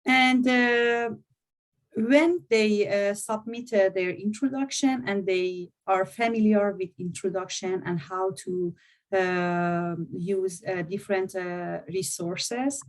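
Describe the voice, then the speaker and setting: A woman lecturer with non-native English, talking at an unhurried, deliberate pace as she walks through a course plan. She speaks at a middling pitch, pausing often and hunting for the next word. Her voice comes through a video-call microphone. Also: fairly even intonation. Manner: halting, searching for words, frequent hesitations. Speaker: university course instructor. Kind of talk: presenting a course overview in an online meeting.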